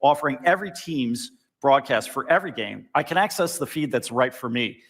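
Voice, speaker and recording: A man's voice: medium pitch, lively intonation, fairly quick. A man giving a polished, promotional corporate product demo. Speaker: product presenter.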